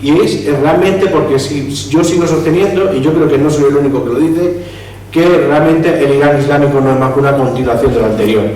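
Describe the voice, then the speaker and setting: A man lectures in a roomy hall that rings with strong reverb, picked up from well back. His voice sits a little high for a man as he restarts words and tosses in rhetorical questions. A faint hum runs under the recording. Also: a little fast, a little mellow. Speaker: lecturer on Iranian history. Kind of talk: public lecture. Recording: echoey hall, distant mic, faint hum.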